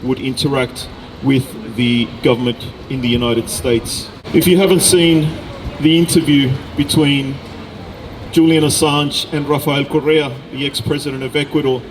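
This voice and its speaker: A middle-aged man from Chile delivering a deliberate, emphatic political speech at an outdoor rally. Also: medium pitch, level tone, low-quality recording. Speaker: speaker at a rally, researcher. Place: outdoor public gathering in a city. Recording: outdoor rally with background noise.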